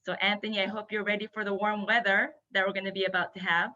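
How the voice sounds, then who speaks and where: Female guest speaker for a webinar, Japanese native, bright and enthusiastic delivery, slightly muffled online-call sound. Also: medium pitch, little pitch movement, fast talker. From Yokohama, Japan. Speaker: guest speaker, hospitality entrepreneur. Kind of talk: webinar presentation. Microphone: video call.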